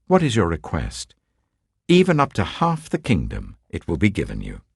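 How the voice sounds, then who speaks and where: Man playing a commanding king, hugely expressive. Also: deep voice, moderate pace, vocal fry, slightly rough, dry recording, clean studio sound, heavily acted. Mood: magnanimous. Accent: British. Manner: stately, commanding. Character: regal, commanding, generous male king.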